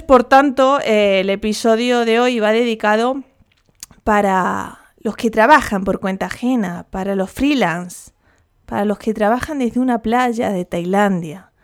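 Chatty gravelly-voiced woman podcast host. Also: mid-range voice. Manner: chatty, rambling, jokey asides. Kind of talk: casual solo podcast.

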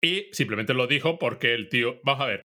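Bright-voiced man recapping an episode. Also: mid-range voice, animated, brisk. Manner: recaps episode plot, quotes lines with little acting. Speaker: podcast co-host. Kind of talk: casual review chat.

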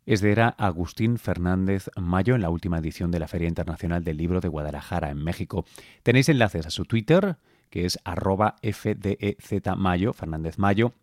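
A man from Catalonia who hosts a podcast, reading scripted links between interviews in a measured way. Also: low-pitched, a little mellow, dry recording, studio quality. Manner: measured presenter. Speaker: podcast host. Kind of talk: scripted narration.

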